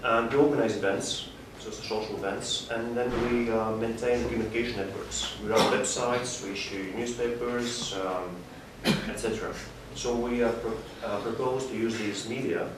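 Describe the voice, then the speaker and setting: A man speaking for a diaspora organization on a panel, halting and full of filler sounds. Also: mid-range voice, steady tone. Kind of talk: panel discussion. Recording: echoey hall, mic far off, background noise.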